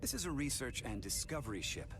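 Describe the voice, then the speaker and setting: A man's voice: medium pitch, expressive, fairly quick, natural voice. A man playing a proud, condescending starship science officer with a dry, pompous manner, as in a cinematic video game. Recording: music behind the voice.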